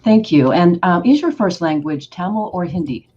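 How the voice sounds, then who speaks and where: A woman's voice: on the low side, expressive, normal speed, a little mellow, breathy edge. A woman in her fifties from Oregon hosting an interview podcast, with enthusiastic, effusive questions. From Oregon, United States. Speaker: podcast host. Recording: slightly dull recording with faint background noise.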